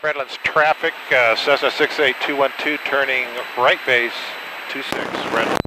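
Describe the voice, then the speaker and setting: A man's voice: mid-range voice, fairly even intonation, unhurried. A man speaking as a private pilot, making radio calls and flight commentary in clipped procedural phrases. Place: small propeller plane cockpit in flight. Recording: very loud engine and cockpit noise.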